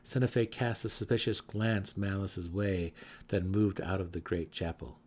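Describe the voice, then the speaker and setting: A man giving a dramatic, theatrical reading of a fantasy novel as an audiobook narrator, with measured phrasing. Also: deep voice, darkish tone.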